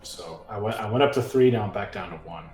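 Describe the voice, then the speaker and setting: A man's voice: lowish voice, quick pace, a little mellow. A man chatting casually as a player in a tabletop roleplaying game. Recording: roomy echo, music behind.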